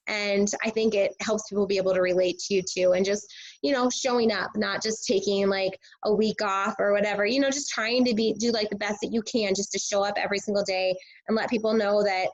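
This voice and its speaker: A woman, a teacher and fitness coach, sharing casual tips in a chatty way, full of filler words, her voice a bit hoarse and scratchy. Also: medium pitch, steady tone, a little fast. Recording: dull lo-fi recording.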